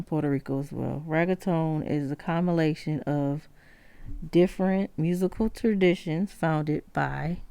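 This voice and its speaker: Low gravelly lady podcaster, slow and halting w/ lots of ums, dry close sound. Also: a little mellow, no room sound. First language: not Spanish. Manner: halting, many ums and self-corrections. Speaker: podcast host. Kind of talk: solo podcast talk on music history.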